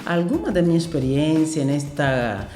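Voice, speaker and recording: A Cuban-accented woman in her sixties talks hesitantly, often trailing into fillers and restarts. Her voice is warm and mellow. It sounds roomy and echoey. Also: low-pitched, animated, normal speed, faint music behind. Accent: Cuban Spanish. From Cuba. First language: Spanish. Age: 60s. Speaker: guest. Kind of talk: podcast interview, recalling her career.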